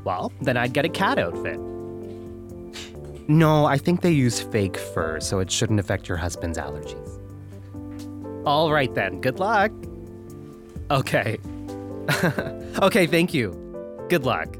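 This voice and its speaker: A young male call-centre worker in a comic audio drama. He is scrupulously polite and patient, picking his words carefully, and his mid-pitched voice moves up and down a great deal. Music plays clearly behind him. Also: big pitch swings. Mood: tactful, awkward. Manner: professionally courteous, tactful, halting on awkward questions. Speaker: phone customer service representative. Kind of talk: comedic audio drama acting.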